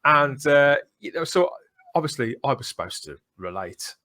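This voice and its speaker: A man's voice: fairly high pitch, big pitch swings, normal speed, pushed and bright. A British man in his forties hosting a podcast in a casual, playful, jokey way. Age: 40s. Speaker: podcast host. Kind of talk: casual conversational chat.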